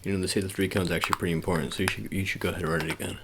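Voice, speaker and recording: A man speaking softly with a gravelly voice in a casual ASMR roleplay, giving low-key, hesitant, repetitive instructions as an agent. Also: steady tone, racing, heavy background noise.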